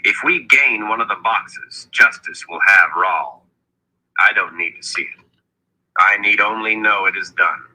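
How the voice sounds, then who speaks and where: A man voicing a young hero in an audiobook, earnest, resolute and quick to feel wronged. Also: lowish voice, pushed and bright, exaggerated voice, low-quality recording. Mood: calm, determined. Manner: earnest, determined. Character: young male hero, earnest, hot-tempered but resolute.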